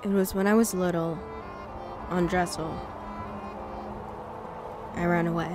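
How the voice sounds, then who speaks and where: A woman's voice: fairly low pitch, steady tone, measured pace, music in the background, lightly acted. An actress playing a guarded, wistful woman in a sci-fi audio drama.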